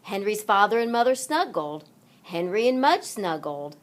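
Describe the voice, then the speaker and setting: A woman narrating a children's read-along story aloud in an expressive, deliberate manner. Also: medium pitch. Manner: deliberate, animated delivery for children.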